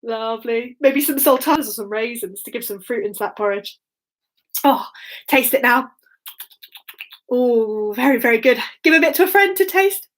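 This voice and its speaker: A woman telling an interactive bedtime story to young children, expressive and encouraging, with sing-song phrasing and dramatic pauses. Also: on the high side.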